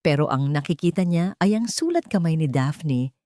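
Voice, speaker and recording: A Filipino woman narrates a scripted love story in a lowish voice. Her delivery is measured and dramatic, set in short phrases with pauses. The sound is close and dry. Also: lively intonation, mellow, dry recording.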